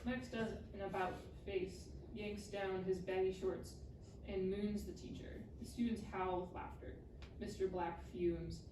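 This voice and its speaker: A woman's voice: mid-range voice, steady tone, a little slow. A woman reading scripted screenplay narration aloud at a live table read. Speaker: stage directions reader. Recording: echoey room, distant mic, clear noise.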